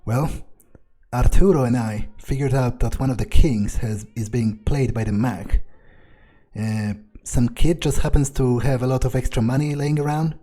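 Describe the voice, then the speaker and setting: A man's voice: mid-range voice, moderate pace, mellow. A man improvising in-character commentary for a game playthrough, with a hesitant, musing and sardonic way of talking. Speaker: gamer role-playing his character.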